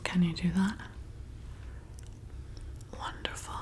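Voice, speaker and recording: Slow whispering woman playing a seductive vampire hypnotist. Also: on the low side, flat delivery. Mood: seductive, predatory. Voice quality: soft breathy whisper. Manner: slow, soothing, coaxing, deliberate. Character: flirty, commanding female vampire bartender hypnotizing her prey. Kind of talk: ASMR roleplay.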